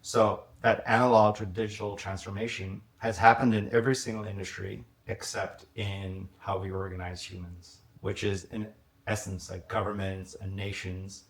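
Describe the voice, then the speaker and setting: A man's voice: fairly low pitch, level tone, moderate pace, echoey room. A man, a startup founder, talking through ideas in a casual podcast conversation, restating points as lists. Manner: thoughtful, explains in lists and restatements.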